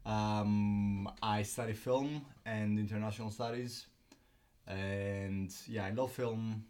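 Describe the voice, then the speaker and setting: A man's voice: on the low side, fairly even intonation, slow pace, darkish tone. A young man from Greece, a filmmaker and student guest, talking casually with many ums and restarts. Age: young adult. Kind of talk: casual interview.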